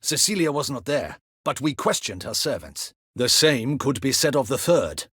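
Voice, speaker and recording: An actor playing a stern, dutiful captain of a ducal guard in a fantasy video game, with formal, clipped, courtly military diction. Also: male voice, mid-range voice, very animated, moderate pace, a bit bright, breathy edge, no room sound, studio quality. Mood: grave, businesslike.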